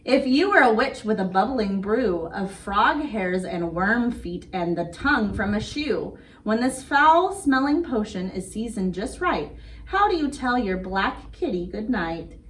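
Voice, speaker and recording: A woman's voice: mid-range voice, sing-song, measured pace, a bit bright. Woman reading to small children, noisy distant recording. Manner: sing-song, simple explanations aimed at small children. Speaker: children's storyteller and craft host. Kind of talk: picture-book read-aloud and craft demonstration for young children.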